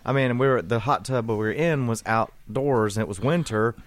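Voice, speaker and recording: A man co-hosting a casual podcast, telling stories among friends with quiet, mumbled asides. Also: medium pitch, normal speed. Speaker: podcast co-host.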